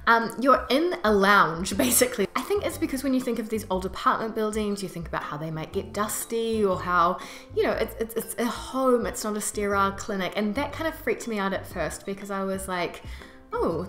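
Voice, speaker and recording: A woman's voice: mid-range voice, a bit bright. A young woman with a New Zealand accent chatting casually and emphatically to her viewers as a vlogger, with conversational asides. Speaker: vlogger, expat presenter. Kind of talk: casual to-camera vlog chat. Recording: roomy echo with music behind. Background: background music.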